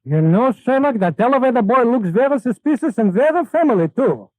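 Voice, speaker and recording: A man's voice: very high voice, expressive, a little fast, dry recording, rough recording. A man playing a timid, questioning sleuth's sidekick in a 1930s radio comedy, fretful and wisecracking. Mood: curious. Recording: dry 1930s radio broadcast recording.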